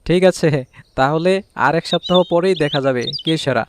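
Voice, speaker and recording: Higher-pitched village husband played by a man, noisy birdsong. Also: moderate pace, close to an everyday voice. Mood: encouraging. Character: village husband of two wives, fish farmer, peacemaking. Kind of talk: Bangla cartoon voice acting.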